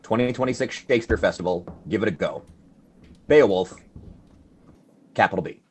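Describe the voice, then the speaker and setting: An actor playing an exasperated, bookish literature expert in a radio play, dry and incredulous in manner. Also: male voice, on the low side, lively intonation, moderate pace, a little hiss or hum, natural voice.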